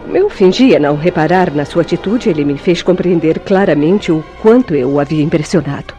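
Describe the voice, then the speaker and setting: An actress playing an anguished, devoted young mistress under accusation in a radio soap opera, pleading and melodramatic, with a Brazilian accent. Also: female voice, low-pitched, mellow.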